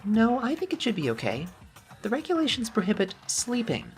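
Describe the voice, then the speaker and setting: A woman's voice: fairly high pitch, huge pitch swings, brisk, soft background music. Woman as an earnest teenage boy, slightly distant. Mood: earnest narrating. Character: earnest, uncertain ordinary teenage boy narrator. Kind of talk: anime game fan dub, scripted narration.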